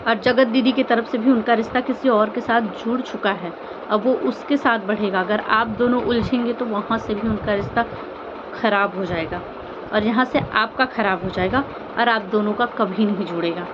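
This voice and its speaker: A woman's voice: on the high side, normal speed, muffled recording, noisy background. A woman vlogger giving informal, earnest opinion commentary, pleading with listeners and repeating phrases and rhetorical questions. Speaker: vlogger commenting on online family drama.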